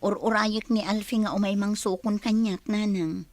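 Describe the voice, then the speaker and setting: A woman's voice: mid-range voice, fairly even intonation, moderate pace, soft background music, lightly acted. An actress in a Filipino radio drama playing a sincere young working woman. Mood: worried. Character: sincere young working woman, embarrassed about her boyfriend.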